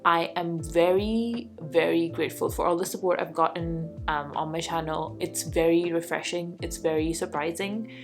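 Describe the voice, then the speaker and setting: A woman's voice: lowish voice, steady tone, moderate pace, few pauses, soft background music. A young woman from Pakistan who lives abroad, chatting casually to her vlog audience and filling her talk with "like" and "um". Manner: chatty, lots of "like" and "um" fillers. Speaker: vlogger. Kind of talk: casual chat to camera.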